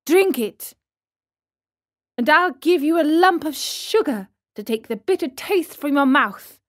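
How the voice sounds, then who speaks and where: A woman voicing a patient, motherly fairy in a storybook, coaxing in a firm and gentle manner. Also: sits high, expressive, a little slow, voice pushed up.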